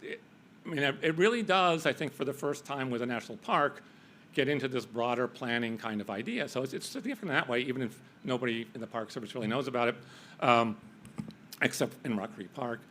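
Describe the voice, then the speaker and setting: A man speaking on a symposium panel, halting and repeating words, with casual asides. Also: medium pitch, animated, fairly bright tone, slight background noise. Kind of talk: panel talk.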